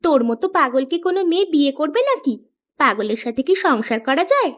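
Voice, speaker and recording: A woman plays a teasing little village girl from a kids' cartoon. The voice is very high, with a mocking, quick-tempered way of bantering. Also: sing-song. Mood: teasing, scornful. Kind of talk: children's cartoon dialogue.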